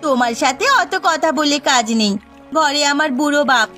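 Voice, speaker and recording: A woman playing a high-voiced, spirited village girl. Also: normal speed, pushed and bright, faint music behind. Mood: playful defiance. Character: spirited, stubborn young village woman being courted. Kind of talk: cartoon dialogue.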